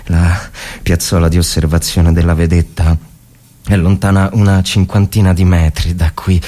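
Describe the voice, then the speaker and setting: An actor playing a tormented, fervent Italian army officer in the trenches of the First World War, in a theatrical dramatic reading with halting repetitions. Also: male voice, sits low, little pitch movement. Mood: tense briefing. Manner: theatrical, halting, repeating phrases, swinging from hushed to frenzied. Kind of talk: dramatic literary reading as monologue.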